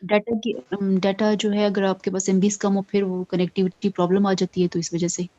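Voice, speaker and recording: Female law student, hesitant and respectful, mellow tone, little pitch movement. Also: little pitch movement, brisk, no echo, muffled recording. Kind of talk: answering a teacher in an online class.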